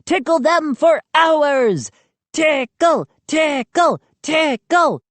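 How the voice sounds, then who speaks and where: A woman's voice: a little high, slow, no echo, voice pushed up, heavily acted. A woman voicing a small, mischievous male gnome, gleeful and taunting, in a storybook reading. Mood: chanting glee. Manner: chanting, excitable, taunting.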